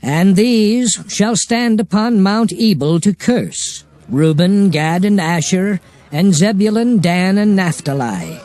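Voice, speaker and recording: A man giving a solemn, measured, declamatory reading of scripture with music behind. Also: high-pitched, animated, darkish tone.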